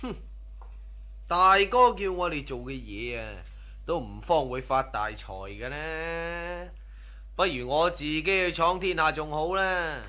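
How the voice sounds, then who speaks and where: An actor playing a greedy, ruthless, boastful robber in a radio drama. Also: male voice, fairly high pitch, lively intonation, a little slow, sharp tone. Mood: restless, ambitious. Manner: boastful, sneering, swaggering. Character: cocky, greedy, ruthless male robber scoffing at superstition. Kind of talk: Cantonese radio drama acting.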